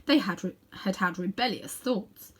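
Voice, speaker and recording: A woman's voice: mid-range voice, unhurried, breathy edge. A woman voicing a tall, imperious queen in a storybook, haughty and commanding. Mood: coolly haughty.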